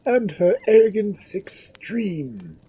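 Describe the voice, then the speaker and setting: A woman voicing a pompous, self-important male lord in a story, with drawn-out, verbose delivery. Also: mid-range voice, very animated, mellow, slightly rough, pitched above the performer's own voice, full character voice, rough recording.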